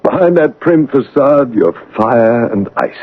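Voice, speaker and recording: An actor playing a brooding, commanding gentleman master and passionate suitor in an old-time radio drama, abrupt and ardent in manner. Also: mid-range voice, expressive, dry recording. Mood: ardent, urgent. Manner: teasing, abrupt, ardent, halting for effect. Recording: dull, band-limited old radio broadcast.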